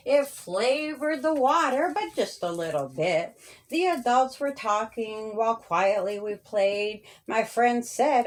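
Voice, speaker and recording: A woman with a fairly high voice reads a children's story in rhyme. Her delivery is very slow and follows the verse in a sing-song rhythm. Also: fairly bright tone. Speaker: author reading her own story. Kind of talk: children's story read aloud in rhyming verse.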